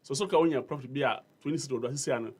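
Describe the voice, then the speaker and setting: A man's voice: medium pitch, rapid-fire, a little mellow. A Ghanaian man debating prices and government policy on a radio panel, insistent and argumentative, mixing English with Twi. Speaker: panel guest. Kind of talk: heated political debate. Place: radio studio.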